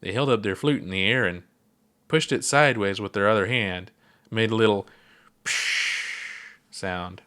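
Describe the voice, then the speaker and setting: A man narrates his own story aloud in a steady, unhurried way. His voice sits low and settled, with an even, storytelling calm throughout. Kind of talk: fan story read aloud.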